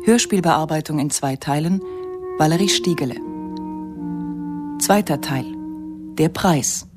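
A woman's voice: deep voice, a little slow. A woman reading a scripted radio announcement of drama credits. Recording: music under the voice.

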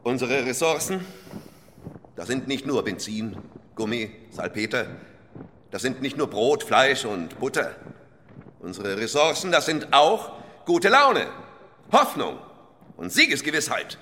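A man playing a stern, pompous older minister in a radio drama, lecturing with condescending, deliberate oratory. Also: medium pitch, sing-song, sharp tone, slight background noise. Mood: declamatory, menacing. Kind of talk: German radio drama acting. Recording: long hall reverb.

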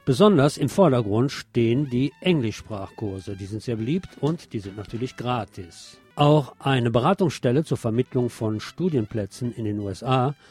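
A man's voice: medium pitch, lively intonation, normal speed, hardly any pauses, a little mellow, husky, faint music behind. A man presenting scripted news with commentary, explaining items in an opinionated way.

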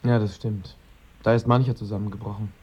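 A man's voice: on the low side, little pitch movement, brisk, husky. An actor playing a blunt male visitor in a radio drama, speaking in a plain, slightly hesitant way. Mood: blunt, casual. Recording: dull, muffled sound.

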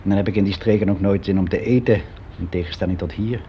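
An actor playing a weary, resigned office clerk around sixty, confiding in a halting, reflective way in a naturalistic radio play. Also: male voice, low voice, fairly even intonation. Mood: candid. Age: 60s. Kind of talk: naturalistic radio drama monologue. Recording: dull, noisy radio play recording.

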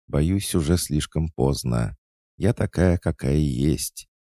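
A haughty young noblewoman, as a man acts her out, sharp and disdainful, her temper never far away. His usually very deep, gravelly voice is pushed well upward for her, still dry and close. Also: expressive, takes it slowly, no room sound, heavily acted. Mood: cold.

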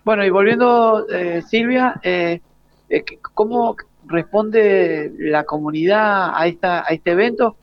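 A man with an Argentine accent asking halting, informal questions as a live radio interviewer. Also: high voice, no echo. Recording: dry, dull lo-fi broadcast.

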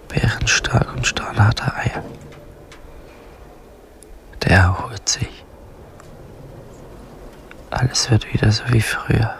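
An actor playing a teenage son's bitter, tender inner thoughts in a radio drama, in a breathy, creaky whisper. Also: male voice, mid-range voice, fairly bright tone, heavily acted.

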